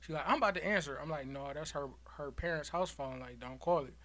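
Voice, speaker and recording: Animated man in his thirties, bright voice. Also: on the high side, quick pace. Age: 30s. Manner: animated storyteller, laughs often, drawling slang. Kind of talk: casual group chat, storytelling.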